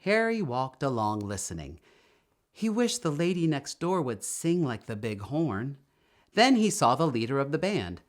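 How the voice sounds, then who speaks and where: A man reading a children's story aloud in an expressive, emphatic storytelling manner, drawing out words. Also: mid-range voice, unhurried. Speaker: storytime reader.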